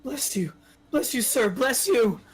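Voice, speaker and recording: Terrified male captive, played by a woman: high-pitched, slow, halting pleas for a horror read. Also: expressive. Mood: desperate pleading. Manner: halting, begging. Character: terrified, pleading male captive begging for mercy. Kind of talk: live dramatic script reading.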